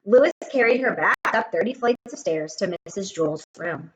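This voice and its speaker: A woman reading a children's story aloud in an expressive way, speaking straight to young listeners. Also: mid-range voice, normal speed, highs cut off. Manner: expressive, addresses children directly. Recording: roomy echo with faint noise.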